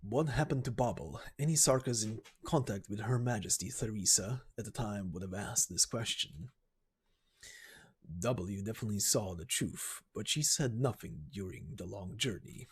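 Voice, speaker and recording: A man's voice: fairly low pitch, normal speed, slightly rough. A man playing a composed, weary mercenary captain in amateur voice acting of a game story. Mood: somber.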